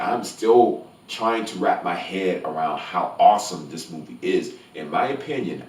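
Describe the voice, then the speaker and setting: Deep-voiced man vlogging in an echoey room. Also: a little hiss or hum. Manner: gushing, emphatic, repeats words for emphasis. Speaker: movie review vlogger. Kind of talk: casual spoiler-free film review to camera. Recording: roomy echo, distant from microphone.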